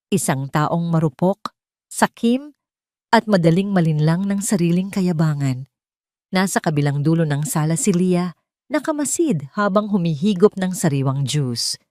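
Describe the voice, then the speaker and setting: A woman's voice: fairly low pitch, lively intonation, normal speed, darkish tone, no echo, studio quality. A woman narrator reading a scripted dramatic story.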